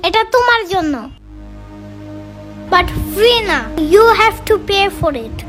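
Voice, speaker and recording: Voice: extremely high pitch, extremely slow, full character voice. A performer voicing a small, bold, assertive schoolchild in a children's cartoon, with music under the voice. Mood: playful.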